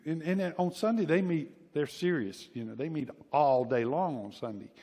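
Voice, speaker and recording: Southern guy preaching, kinda high voice, chatty and folksy, big echoey hall. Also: normal speed, mellow. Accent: Southern American. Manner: folksy, informal, repeats phrases for emphasis. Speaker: pastor preaching. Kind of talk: conversational sermon with personal anecdotes. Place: church hall, preaching to a congregation. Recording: reverberant large hall.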